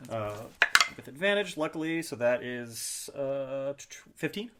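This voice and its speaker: A man's voice: mid-range voice, expressive, a little slow, very noisy. A man playing a tabletop role-playing game, chatting casually and thinking aloud in a hesitant way.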